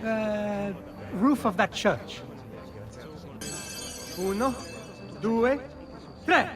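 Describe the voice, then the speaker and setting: A man's voice: sits high, drawn-out words. A man playing a playful, confident young Italian nobleman and elder brother in a video game, with an Italian accent. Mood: playful, teasing. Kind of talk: dramatic video game acting. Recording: music under the voice.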